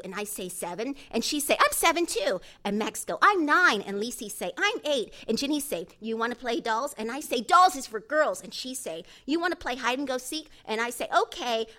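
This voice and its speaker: A woman acts out an excitable boy of about seven in an audiobook. The voice is high and eager, tumbling along in run-on sentences with small-child grammar. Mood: eager, delighted. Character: excitable seven-year-old boy telling his story breathlessly. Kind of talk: audiobook character voice.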